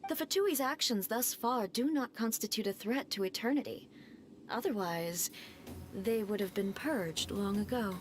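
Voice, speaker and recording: An actress voicing an aloof, commanding female ruler in a video game, speaking in a calm, measured and formal way. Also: medium pitch, a little hiss or hum, close to an everyday voice. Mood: cool, detached.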